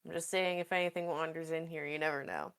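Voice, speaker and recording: Lowish-voiced woman playing a tabletop game. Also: fairly even intonation, quick pace, a bit bright, clear, resonant tone. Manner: informal, hesitant, rambling. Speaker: tabletop roleplaying player. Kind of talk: casual improvised roleplay chat.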